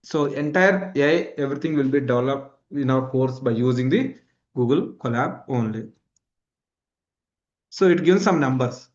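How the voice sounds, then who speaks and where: A male instructor with a South Indian accent, a native Kannada speaker, gives a live screen-demo lecture. He repeats key phrases and often checks that listeners follow. His voice sits at an ordinary male pitch, and the recording is dull and low in fidelity. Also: moderate pace. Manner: repetitive, checks understanding with frequent tag questions.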